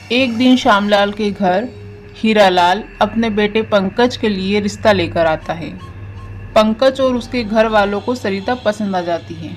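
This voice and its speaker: A woman's voice: medium pitch, darkish tone. A woman reading scripted story narration as the narrator of a tale.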